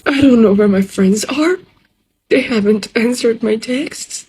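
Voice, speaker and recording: A young woman from Iran with a Persian accent, giving a halting, distressed personal testimony in a social media clip. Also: fairly high pitch, a little mellow, husky. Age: young adult. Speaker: social media video maker.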